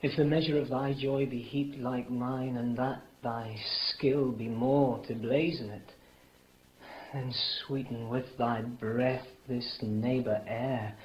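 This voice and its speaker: Actor as a slow, ardent young lover. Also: male voice, mid-range voice, lightly acted. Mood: adoring. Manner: measured, romantic verse declamation. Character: ardent, lovestruck young man. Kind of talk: 1950s radio Shakespeare acting. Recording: muffled, slightly noisy old radio recording.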